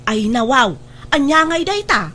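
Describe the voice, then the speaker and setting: A woman's voice: sits high, sing-song, a little slow, bright, forceful tone, heavily acted. An actress playing a curious older village auntie in a scripted comedy drama, puzzled and drawing out her words.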